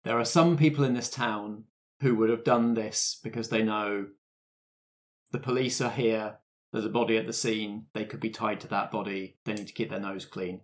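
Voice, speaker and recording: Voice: medium pitch, steady tone, moderate pace. A game master narrating a tabletop roleplay and talking through the rules in a casual way.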